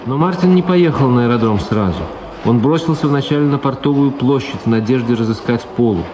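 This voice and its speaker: A man giving scripted narration for a radio drama in a plain, measured storytelling manner. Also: mid-range voice, warm tone, faint music behind. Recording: clear buzzing background noise.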